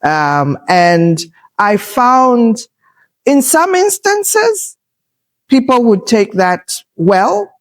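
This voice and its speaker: Slow, assertive woman with a loud voice. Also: on the high side, big pitch swings. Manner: assertive, unhurried, thoughtful with filler pauses. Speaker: podcast host. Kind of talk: reflective conversational talk.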